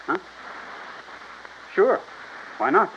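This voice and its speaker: Pushy young rancher, animated, muffled. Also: male voice, high voice, extremely slow, full character voice. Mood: guarded, assertive. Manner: insistent, persuasive. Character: pushy, smooth-talking young rancher fiancé. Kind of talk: 1950s radio drama acting. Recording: muffled, hissy old radio recording.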